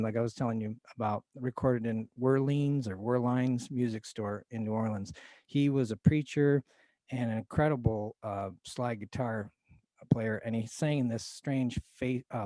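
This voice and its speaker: Mellow-voiced man chatting about old records. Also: mid-range voice, no room sound. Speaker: guest record collector. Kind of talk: casual talk about old blues and jazz records.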